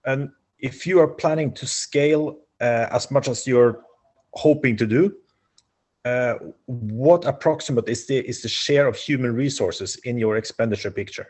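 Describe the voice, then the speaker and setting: A middle-aged man asking measured questions on a video call. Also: mid-range voice, darkish tone.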